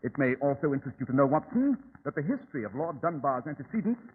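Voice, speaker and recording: A shrewd, grave radio-drama detective with a fairly high, animated voice. His diction is formal and theatrical. The old recording is tinny with some noise. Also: fast. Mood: grave, didactic.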